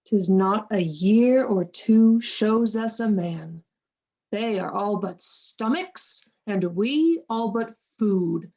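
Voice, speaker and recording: Woman as wry lady's attendant in Shakespeare audio play: mid-pitched, unhurried, measured, worldly and cynical. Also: expressive, creaky, mellow, muffled recording, exaggerated voice. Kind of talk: Shakespearean radio play acting.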